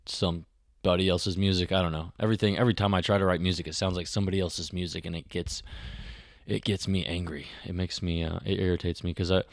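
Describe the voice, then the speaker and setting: A man's voice: deep voice, steady tone, quick pace, creaky, dry recording, studio quality. A man from Missouri hosting a podcast, rambling and self-deprecating as he opens the episode with a casual chat. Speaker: podcast host. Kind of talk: casual solo intro to a podcast.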